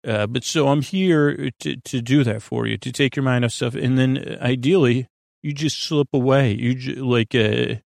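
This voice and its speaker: A man hosting a podcast, delivering a meandering, halting bedtime monologue in a reassuring, gently encouraging way, often trailing off and doubling back. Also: medium pitch, steady tone, creaky, no room sound, clean studio sound. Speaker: podcast host. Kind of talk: rambling bedtime monologue meant to help listeners sleep.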